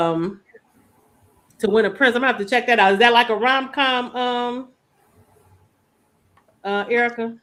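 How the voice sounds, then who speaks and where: A woman's voice: fairly high pitch, steady tone, measured pace, pauses often, fairly bright tone. A woman hosting a book show, chatting casually and speaking directly to her audience. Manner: chatty, addresses live audience by name. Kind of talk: casual book discussion chat.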